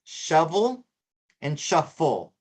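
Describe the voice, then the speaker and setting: A middle-aged man co-hosting a live tarot show in casual chat, halting and repeating himself with many fillers. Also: a little high, huge pitch swings, a little mellow. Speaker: co-host reading tarot cards.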